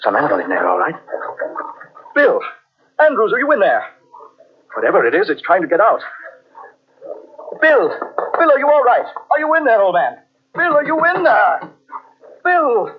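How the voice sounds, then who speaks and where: A man's voice: high voice, wildly swooping pitch, normal speed, long pauses, a little hiss or hum. An actor playing an anxious male houseguest in an old radio drama, talking with urgent insistence. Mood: alarmed. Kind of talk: 1940s radio acting. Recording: dull old radio transcription.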